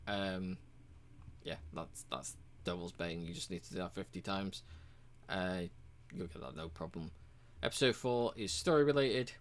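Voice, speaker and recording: A man casually walking through a game's achievements, relaxed and rambling, with frequent ums and uhs. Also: sits low, normal speed. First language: English. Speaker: game reviewer.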